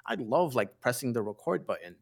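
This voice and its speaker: A man's voice: mid-range voice, big pitch swings, fairly quick, creaky. Chatty man, mid voice. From Haiti. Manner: chatty, frequent restarts and repeated words. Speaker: podcast guest, streaming coach. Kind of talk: casual podcast chat.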